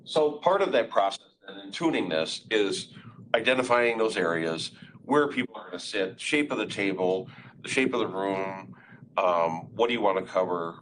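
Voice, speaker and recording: Deep-voiced man co-hosting a webinar. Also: lively intonation, normal speed, a bit bright, slight background noise, low-quality recording. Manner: informal, summarizing and prompting. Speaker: webinar co-host asking questions. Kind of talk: casual conversational webinar. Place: meeting room. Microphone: conference room array microphone.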